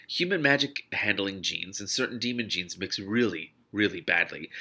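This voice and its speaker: A man reading a novel aloud expressively, in an informal, animated way that leaves room for his own reactions. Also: fairly low pitch. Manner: animated, informal, breaks off to comment. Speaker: reader and host of a read-along series.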